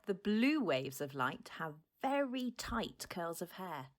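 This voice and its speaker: An actress playing a patient, reassuring mother who gently explains things to her child in an audio drama. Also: lowish voice, sing-song, slow.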